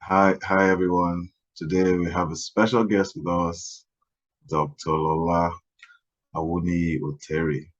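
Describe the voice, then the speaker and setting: A man hosting a podcast, soft-spoken and hesitant, who often clears his throat and uses fillers. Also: low voice, little pitch movement, unhurried, dull audio. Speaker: podcast host. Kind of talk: interview hosting.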